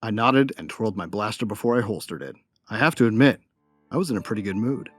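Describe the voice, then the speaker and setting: A man's voice: lowish voice, expressive, fast talker, a bit bright, dry recording, soft background music. A man playing a cocky, wry bounty hunter who narrates his own story in an audio drama. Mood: wry, confident. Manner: wry, self-satisfied. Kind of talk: first-person dramatic narration.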